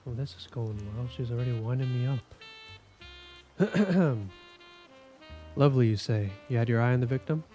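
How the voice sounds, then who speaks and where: A man plays a seasoned police investigator in an audio drama, jaded and sardonic. His mellow, dark-toned voice has a casual, needling delivery over faint music. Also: medium pitch, moderate pace, pauses often, close to an everyday voice. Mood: weary, flustered.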